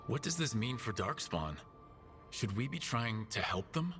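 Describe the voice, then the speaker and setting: An actor playing a pragmatic, determined fantasy hero in a video game, speaking plainly and with purpose. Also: male voice, mid-range voice, sing-song, a little slow. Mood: questioning. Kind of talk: dramatic video game dialogue. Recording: music behind the voice.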